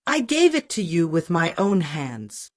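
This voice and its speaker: An innocent, wronged village boy from a storybook folktale, as a woman narrator plays him. He sounds earnest and pleading, with animated pitch in a dry recording. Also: a little slow, dry recording. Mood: disbelieving. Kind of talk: storybook character voice.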